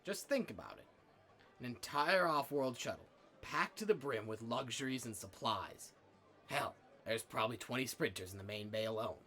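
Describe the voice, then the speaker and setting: Man acting a bright-voiced, sly scavenger tipster. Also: mid-range voice, lively intonation, moderate pace. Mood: coy, persuasive. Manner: conversational, coy storytelling. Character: sly, folksy male scavenger tipster in space western. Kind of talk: naturalistic film dialogue.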